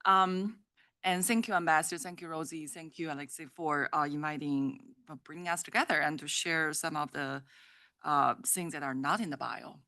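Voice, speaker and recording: A woman's voice: low voice, fairly even intonation, normal speed, pushed and bright, dry recording. A woman from China speaking as a panelist, with hesitant restarts and wry asides. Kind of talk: panel discussion.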